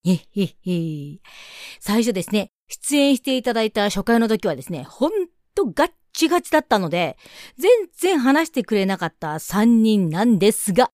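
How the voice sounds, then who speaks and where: A woman hosting a radio show, bantering casually and teasing her guests with quick comebacks. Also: medium pitch, a little mellow, no echo, studio quality. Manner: playful teasing straight-man retorts.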